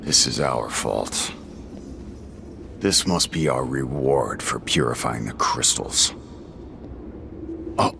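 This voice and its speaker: An actor playing a gruff, brooding, short-tempered male warrior in a video game cutscene, gravelly and terse. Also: a bass voice, takes it slowly, pauses often, background noise, exaggerated voice. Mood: troubled. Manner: terse, blunt.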